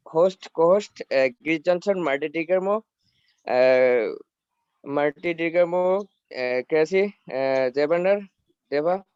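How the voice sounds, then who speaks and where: A man from Bangladesh speaking haltingly in broken English on a video call, with many fillers. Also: on the high side, darkish tone, smooth, dry recording. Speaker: webinar participant. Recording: muffled lo-fi call audio.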